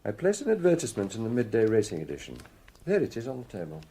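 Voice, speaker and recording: A British actor playing a shrewd, avuncular middle-aged police detective in a radio drama, measured, probing and quietly wry. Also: male voice, on the low side, huge pitch swings, a little hiss or hum. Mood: polite, guarded.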